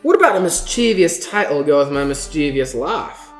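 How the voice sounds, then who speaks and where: A cheeky, gap-toothed rogue of a fairy man, played by a woman. The delivery is chirpy and colloquial, swinging about in pitch, in a slightly roomy recording with music behind. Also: big pitch swings, normal speed. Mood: playfully rueful.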